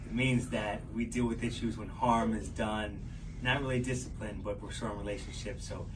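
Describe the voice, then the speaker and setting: A man's voice: lowish voice, steady tone, normal speed. Middle-aged man in a noisy room. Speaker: math teacher. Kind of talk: casual interview answers. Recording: noisy room, distant microphone.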